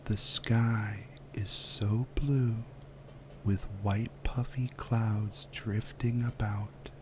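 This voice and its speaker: A man softly guiding a relaxation visualization in ASMR style, with a breathy, whispery voice and a soothing, unhurried delivery full of pauses. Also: lowish voice, fairly even intonation, noisy background. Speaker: guided relaxation narrator. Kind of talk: soft-spoken ASMR guided visualization.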